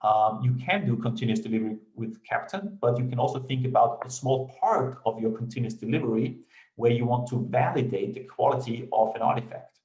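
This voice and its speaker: A man's voice: medium pitch, brisk, a little mellow, reverberant, rough recording. A man from Austria with an Austrian German accent and German as his first language, giving a remote technical conference talk with many fillers and restarts. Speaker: conference speaker.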